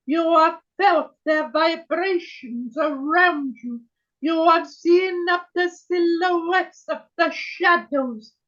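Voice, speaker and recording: A trance medium channeling a gentle female spirit sister on a video call, speaking in a solemn, old-fashioned way and calling everyone my dear. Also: very high-pitched, dull audio, heavily acted. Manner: slow, solemn, archaic phrasing, keeps saying my dear.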